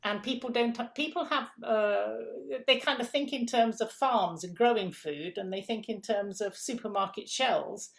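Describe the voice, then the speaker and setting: A woman's voice: mid-range voice, normal speed, few pauses. A middle-aged woman from England, a guest in an interview, explaining food systems step by step with emphatic repeats.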